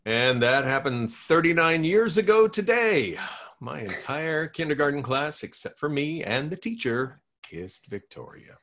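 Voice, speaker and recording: A man's voice: a little high, lively intonation, a little slow, a bit bright. A man playing an eager, hopelessly romantic forty-five-year-old radio host in a comedy table read. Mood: eager, hopeful. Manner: eager, pleading.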